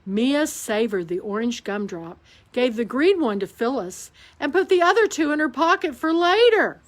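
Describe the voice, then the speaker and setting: A woman reading a children's picture book aloud at storytime, slowly and with lots of expression. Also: high voice. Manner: slow, expressive read-aloud delivery. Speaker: storytime reader.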